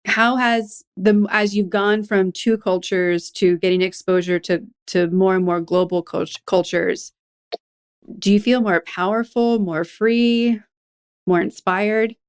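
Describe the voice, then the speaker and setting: A woman hosting a podcast interview, talking in a chatty, animated way. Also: medium pitch, slow, clean tone. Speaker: podcast host. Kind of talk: conversational interview.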